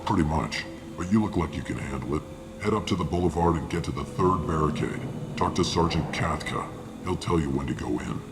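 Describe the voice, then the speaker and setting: An actor playing a gruff, weary, matter-of-fact male mercenary briefing hired guns in a video game. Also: extremely low pitch, a little fast. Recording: clearly noisy game ambience behind.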